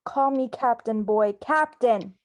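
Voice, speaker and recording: A woman playing a gruff, bossy old male sea captain in an amateur radio drama, with salty sailor slang. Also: a little high, slow. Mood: brusque. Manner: nautical slang, barked orders.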